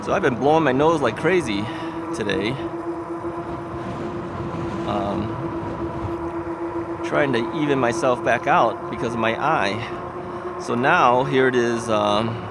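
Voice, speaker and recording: An older man vlogging casually about his e-bike, rambling and chatty with fillers and soft laughs, sniffling from a stuffy nose. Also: medium pitch, slow, pauses often. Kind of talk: casual vlog chat. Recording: outdoor recording with loud traffic noise. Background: loud traffic and street noise.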